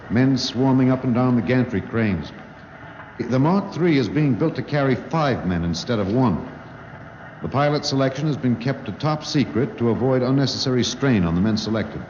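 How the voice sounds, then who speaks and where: An actor playing a grave newsman narrating a 1950s radio drama in a formal, measured, solemn manner. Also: medium pitch, close to an everyday voice. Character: grave male newsman recounting a space rescue. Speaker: narrator and network reporter. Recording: dull, noisy 1950s radio recording.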